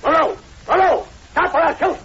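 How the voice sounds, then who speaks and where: A man's voice: very high-pitched, normal speed. An actor playing a fearless, commanding man hunting the undead, curt and urgent in an old-time radio horror drama. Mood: urgent, determined. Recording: muffled, hissy old radio recording.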